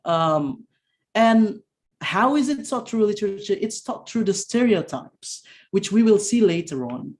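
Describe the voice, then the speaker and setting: Deep-voiced woman giving an academic talk. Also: animated, darkish tone. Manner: halting, many restarts and fillers. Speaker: conference presenter. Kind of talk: academic presentation. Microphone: video call.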